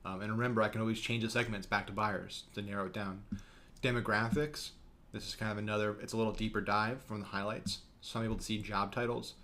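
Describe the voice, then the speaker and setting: Young man, lowish voice, casual course instructor, talks fast with lots of ums, webcam in small room. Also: flat delivery, pushed and bright. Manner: quick, conversational, frequent "um" fillers. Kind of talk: screen-share course lesson.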